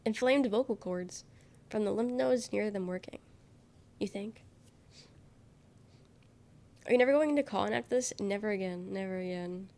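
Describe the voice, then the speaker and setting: A woman's voice: medium pitch, moderate pace, vocal fry, long pauses, mellow. A young woman livestreamer chatting casually with viewers, her voice nasal and worn from being sick, rambling as she reads chat aloud. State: sick, congested voice.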